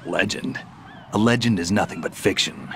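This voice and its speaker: Gruff low-voiced war hero for a game cutscene, terse and stoic, faint noise behind. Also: male voice, moderate pace, a bit bright. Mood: stoic, resolute. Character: weary, gruff, hardened male soldier of legend. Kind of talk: video game cutscene dialogue.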